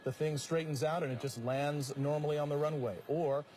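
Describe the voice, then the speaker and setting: A man's voice: a little high, steady tone, brisk, a little mellow. American male news anchor over noisy feed. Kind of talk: live breaking news commentary. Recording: clearly noisy live feed, vehicle drone.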